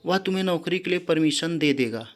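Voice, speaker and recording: A man's voice: a little high, expressive, fairly quick, close to an everyday voice. Guy doing a bossy hot-tempered dad in a story, insistent, faint music under. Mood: coaxing, insistent. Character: short-tempered middle-aged father, pushy and domineering. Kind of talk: storybook character dialogue.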